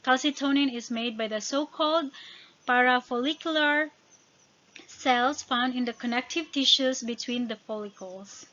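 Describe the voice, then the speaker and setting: A woman with a fairly high voice and a Filipino accent, a native speaker of Tagalog and Visayan, teaches as she reads through study notes. She stumbles and corrects herself on technical words. The recording is somewhat dull and lo-fi. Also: sharp tone. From Philippines. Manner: halting over technical words, self-correcting. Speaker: educator. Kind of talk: informal lesson narration, reading study notes.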